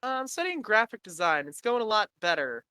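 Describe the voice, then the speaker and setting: A young man from Canada hosts a loose, casual chat with a high, bright voice that can pass for a woman's. He talks in a crisp, clear tone with a very dry, close sound. He rambles, swears freely and laughs easily. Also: very animated, no room sound. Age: 20s. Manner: rambling and profane, quick to laugh. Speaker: podcast host.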